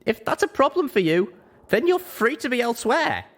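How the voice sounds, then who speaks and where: A man's voice: extremely high pitch, very animated, normal speed, voice pushed up. A man voicing a young female pony leader who is firm, stubborn and assertive.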